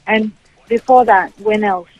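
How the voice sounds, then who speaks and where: A middle-aged woman talking on the telephone over a slightly noisy, clicky line. Her voice is medium in pitch, and she is firm, asking pointed questions. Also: steady tone, unhurried, muffled recording. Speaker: prank call target, parent. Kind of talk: phone conversation. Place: on a telephone call.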